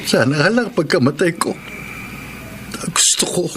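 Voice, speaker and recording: An actor playing a grieving, resigned Filipino widower in radio drama style, subdued and halting. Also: male voice, on the high side, lively intonation, noisy background. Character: grieving, resigned, forgiving widower who expects death soon.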